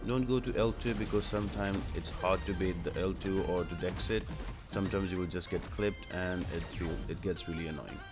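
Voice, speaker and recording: A man's voice: sits low, brisk. A man giving casual, explanatory video game guide commentary, speaking directly to viewers as the host. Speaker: host of a gaming guide channel. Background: music behind the voice.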